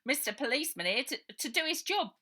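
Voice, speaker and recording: A woman's voice: sits high, very animated, fast talker, bright, forceful tone, pitched above the performer's own voice, exaggerated voice. A woman voicing a plucky, bossy street-wise girl who leads a storybook gang with colloquial, commanding talk. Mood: brisk bossy.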